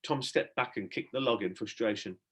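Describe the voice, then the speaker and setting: A man narrating a children's storybook in an expressive, dramatic storytelling manner, like a teacher reading aloud. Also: on the low side, normal speed.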